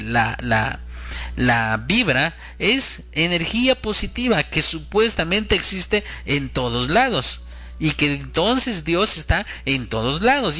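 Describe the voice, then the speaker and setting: A man's voice: fairly high pitch, sharp tone. A man preaching informally and earnestly to listeners he addresses as friends, exhorting them with rhetorical questions and repetition.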